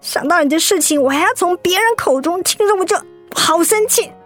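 An actress with a Taiwanese accent playing a blunt, softhearted young woman who nags her best friend in a radio drama. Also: very high voice, a little fast. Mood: teasing, threatening. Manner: blunt, nagging, exasperated. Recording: music behind the voice.

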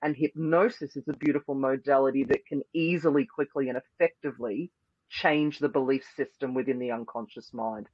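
A man's voice: medium pitch, fairly even intonation, brisk, no room sound, lo-fi sound. A man, a hypnosis and NLP practitioner, giving earnest, flowing answers as an interview guest. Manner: earnest, repeats "beautiful" and "powerfully", flowing explanations.

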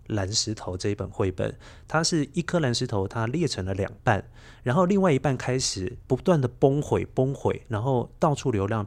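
A man with a Taiwanese Mandarin accent, a children's storyteller, explaining reflectively as a podcast guest and repeating words for emphasis. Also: mid-range voice. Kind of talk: conversational interview, reflective explaining.

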